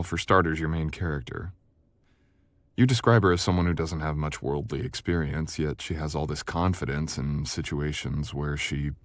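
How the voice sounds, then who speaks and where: Guy acting as a super deep voiced editor boyfriend type, confident n teasing, intimate roleplay, really dry close sound. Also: dry recording, full character voice. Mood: tactful critique.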